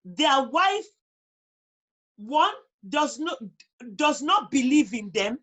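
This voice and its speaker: Female interview guest, Nigerian accent, high voice, conversational yet emphatic delivery. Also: sing-song, slow, a bit bright. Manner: emphatic, repeats phrases for emphasis. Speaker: guest on a talk show. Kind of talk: conversational interview, personal advice.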